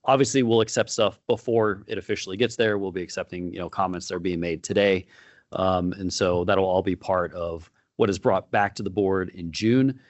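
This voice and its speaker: A man's voice: sits low, steady tone, no room sound. A man chairing a board meeting, speaking informally with frequent fillers and restarts. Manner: informal, halting, with many fillers.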